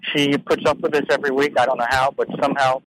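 A man's voice: mid-range voice, little pitch movement, fast, fairly bright tone, slight background noise, low-quality recording. A middle-aged man hosting a business radio show, joking and teasing his co-host in playful banter. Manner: teasing, self-mocking.

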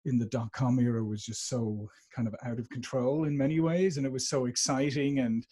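A man in his forties speaks with a warm Dublin Irish accent. He talks in a lively, conversational way, full of restarts and small fillers. His pitch sits in the middle range. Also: fairly quick, slightly rough. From Ireland. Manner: animated, restarts sentences, frequent "uh". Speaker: podcast guest. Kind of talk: conversational interview.

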